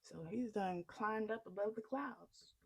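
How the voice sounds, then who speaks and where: A woman reading a children's story aloud in an expressive storytelling style. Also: mid-range voice, unhurried, vocal fry, husky.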